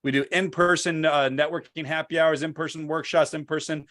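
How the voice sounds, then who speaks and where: A man's voice: on the high side, fairly even intonation, fairly quick, fairly bright tone. Upbeat male show host. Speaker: podcast host. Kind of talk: interview show hosting.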